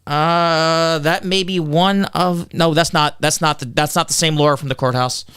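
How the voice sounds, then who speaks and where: A man's voice: a little high, fairly even intonation, normal speed, smooth. A man, a political candidate on a podcast, arguing back defensively and lecturing on legal details. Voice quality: loud voice.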